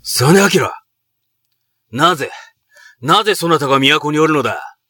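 A man's voice: a little high, expressive, measured pace, bright, forceful tone, no room sound, clean studio sound, full character voice. An actor playing a proud middle-aged noble commander and father in a Japanese period audio drama, speaking in archaic, imperious samurai language. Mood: indignant, contemptuous.

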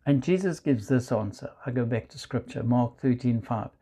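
A man with a South African accent, preaching a measured sermon and quoting scripture. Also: medium pitch, mellow, slightly rough. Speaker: pastor.

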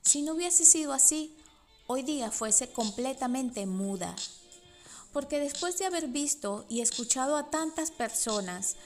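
A woman in her forties with a Venezuelan Spanish accent, reading her own personal essay in a reflective, measured way. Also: on the high side. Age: 40s. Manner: reflective, measured, with soft laughs. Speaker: podcast host and writer.

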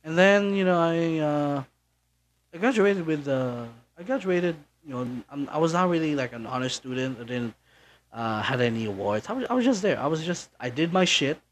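A man's voice: fairly high pitch, lively intonation, moderate pace, slight background noise. A young man from the Philippines hosting his own podcast in casual solo chat, rambling with many fillers and restarts. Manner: offhand, mildly profane. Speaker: podcast host.

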